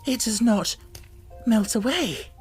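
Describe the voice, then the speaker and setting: A woman's voice: a little high, slow, pitched above the performer's own voice. A woman voicing a poor, dreamy young girl full of wonder, in rapt, fervent exclamations for a storybook. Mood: amazed, rapturous. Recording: music bed under the voice. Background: music.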